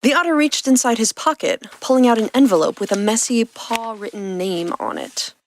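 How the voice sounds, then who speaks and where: A woman's voice: fairly high pitch, moderate pace, slight background noise. A woman reading scripted fiction as the narrator of an audio story. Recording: music behind the voice. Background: background music.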